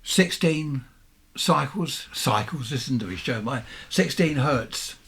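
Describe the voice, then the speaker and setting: A man in his seventies with a southern English accent and a husky voice, giving a chatty, rambling, unscripted show-and-tell as a hobbyist, often questioning himself. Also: medium pitch, animated, takes it slowly, fairly bright tone. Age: 70s. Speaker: amateur radio hobbyist presenting vintage equipment.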